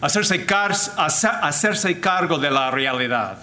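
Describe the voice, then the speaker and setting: An older man, a Jesuit priest whose first language is English, giving a deliberate, formal talk with frequent restarts. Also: a little high, expressive, sharp tone, a little hiss or hum. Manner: deliberate, repeats and restarts words, switches to English phrases. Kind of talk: slow formal lecture.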